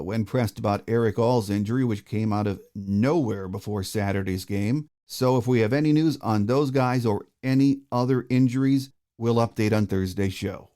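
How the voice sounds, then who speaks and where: A man's voice: on the low side, warm tone. A man hosting a sports podcast, speaking in a presenter's style. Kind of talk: podcast hosting and scripted sign-off.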